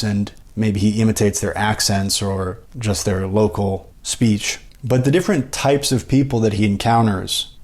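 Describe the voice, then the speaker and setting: An American man hosting a podcast, giving a measured, analytical literary commentary in an explanatory way. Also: fairly low pitch, a little mellow. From United States. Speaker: podcast host.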